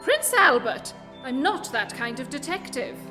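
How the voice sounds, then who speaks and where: A woman playing a composed male Victorian detective in a radio play, speaking in a measured, formal, dryly witty way. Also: high voice, very animated. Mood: wry. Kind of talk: scripted radio play acting. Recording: reverberant hall, faint music behind.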